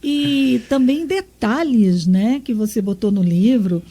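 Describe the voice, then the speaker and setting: A woman's voice: on the high side, slow. A woman from Brazil hosting a radio interview, effusive and full of praise. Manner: warm, effusive. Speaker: radio host.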